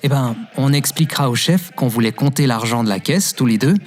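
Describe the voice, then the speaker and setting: A kind-hearted young shop worker, played by a male actor, talks in an everyday, medium-pitched voice. It is audio drama acting with soft music underneath. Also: fairly quick, natural voice. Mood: reassuring.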